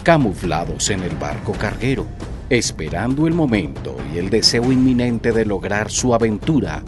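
A man's voice: very animated, normal speed. A man introducing an audio drama episode in a suspenseful, theatrical scripted style. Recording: music and sound effects behind voice. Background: music, rumbling effects.

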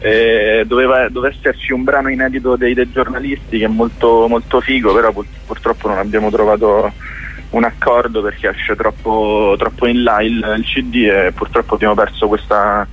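A man's voice: fairly low pitch, level tone, brisk, slightly rough, narrow, tinny audio. A man with a Roman accent chatting casually as a guest director in a radio interview, sarcastic, slangy and sweary. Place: radio studio.

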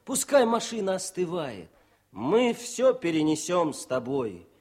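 Radio play: young soldier-poet, modest and cheerful, lively melodic delivery, slightly rough voice. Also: male voice, a little high, a little slow, exaggerated voice. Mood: declaiming verse. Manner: expressive, eager, declaims verse. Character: young male war poet and political officer, wounded, modest, cheerful. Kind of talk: Soviet radio drama acting.

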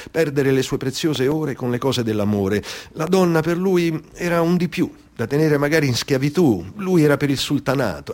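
A man's voice: medium pitch, breathy edge. A man giving a theatrical reading of a satirical ballad, emphatic and rhythmic, with a mocking storyteller's delivery. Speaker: author reading his own satirical ballad.

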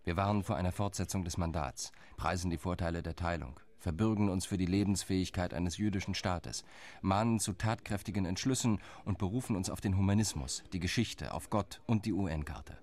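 Male actor as an eager envoy in a radio drama, deep and earnest. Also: fairly quick, darkish tone. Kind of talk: radio play monologue.

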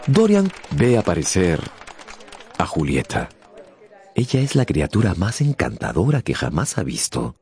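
A man narrating a radio drama as a scripted story, theatrically and with deliberate pauses. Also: low voice, huge pitch swings, a little mellow. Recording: music behind the voice.

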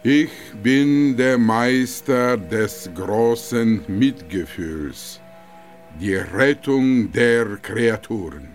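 Very slow, solemn holy master. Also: male voice, medium pitch, animated, soft background music. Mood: serene solemnity. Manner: solemn, measured, long pauses. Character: serene male holy master of compassion, solemnly self-revealing. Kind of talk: radio drama monologue.

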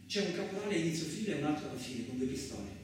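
An actor playing a frightened, injured farm labourer, telling his story in the first person as a halting, repetitive theatrical radio monologue. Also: a little high, lively intonation, lightly acted. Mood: tense. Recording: very echoey, distant, loud music behind. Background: music.

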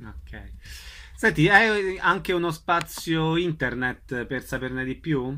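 A man acts as interviewer. His voice is markedly bright and pitched a little above average. He puts his questions in a conversational, radio-style manner. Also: animated, slow pace.

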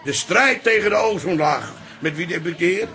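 An actor with a Dutch accent playing a stubborn, comically indignant old café patriarch in a radio play. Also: male voice, sits high, animated, normal speed, pushed and bright, soft background music. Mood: huffy.

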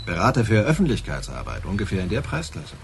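Chatty police sidekick, low voice with animated intonation, colloquial, radio play with faint noise. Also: male voice, quick pace. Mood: matter-of-fact. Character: male police assistant, eager, chatty, matter-of-fact. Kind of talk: naturalistic German radio crime drama acting.

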